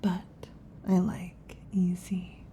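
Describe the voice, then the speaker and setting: A woman voicing a possessive, overheated female lamia in an ASMR roleplay, whispering softly and teasingly into a close mic. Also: fairly low pitch, monotone, extremely slow. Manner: soft intimate whispering, teasing. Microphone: close sensitive mic.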